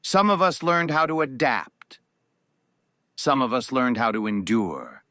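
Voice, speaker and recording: Man acting a slow, halting, grieving eulogist. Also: medium pitch, very animated, pushed and bright, no echo, studio quality. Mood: solemn, reflective. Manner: measured, halting, pausing between phrases. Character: grieving, reserved man of a powerful family giving a eulogy. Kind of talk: solemn eulogy in audio drama.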